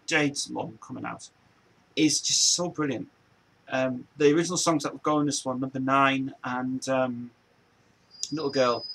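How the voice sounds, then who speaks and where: A man in his forties, from England, chatting as a show host in a rambling, easygoing way. His voice sits at a middling pitch with a lot of gravelly fry. There is a faint hiss of noise behind him. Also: fairly bright tone, low-quality recording. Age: 40s. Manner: loose, meandering, repeats himself and restarts often. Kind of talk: rambling casual chat.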